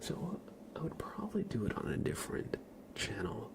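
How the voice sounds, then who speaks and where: A man streaming ASMR, chatting casually to viewers in a soft, breathy whisper with a slightly stuffy nose. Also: lowish voice, very animated, normal speed. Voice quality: breathy whisper with a creaky edge. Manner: soft-spoken, rambling, casual.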